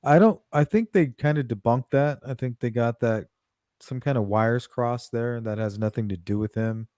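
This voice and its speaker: A woman's voice: a bass voice, moderate pace, warm tone, no echo, studio quality. A woman hosting a casual livestream chat, thinking aloud as she goes. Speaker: host.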